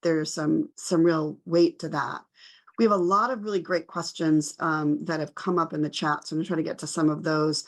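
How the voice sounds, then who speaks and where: A woman's voice: sits low, fairly even intonation, normal speed. A woman moderating an online panel, reflective and appreciative as she thanks speakers and reads out questions.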